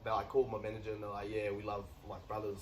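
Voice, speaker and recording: Young male, 19, relaxed rambling footy talk, off-mic. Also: on the low side, racing, a crowd in the background. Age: young adult, 19. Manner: laid-back, rambling, swears casually. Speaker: young rugby league player. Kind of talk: casual interview chat. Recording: distant mic, faint background noise.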